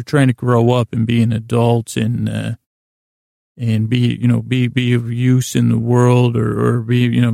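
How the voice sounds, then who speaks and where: A man hosting a podcast in a gravelly, creaky voice, rambling through a halting, self-deprecating bedtime monologue that trails off and repeats words. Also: mid-range voice, mellow, no echo, studio quality. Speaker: podcast host.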